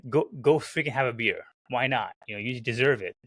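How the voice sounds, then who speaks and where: A man co-hosting a casual sports podcast, talking in an animated way as he riffs on teams and players. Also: mid-range voice, a little fast, no room sound. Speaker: podcast co-host.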